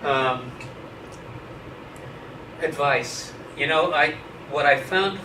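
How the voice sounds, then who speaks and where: A wry, frank man in his late fifties, speaking a little higher than most men as he answers questions in a relaxed interview. He talks loosely, swears mildly, and restarts his sentences often. The recording is roomy and distant, with clear noise underneath. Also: very slow. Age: 50s. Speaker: interviewee, writing scholar. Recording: echoey room, mic at a distance, noisy.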